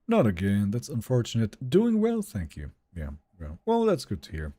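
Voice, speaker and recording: A man with a Swedish accent, live-streaming a game and chatting with viewers in a relaxed, talkative way. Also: fairly low pitch, moderate pace. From Sweden. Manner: relaxed, chatty, answers chat questions.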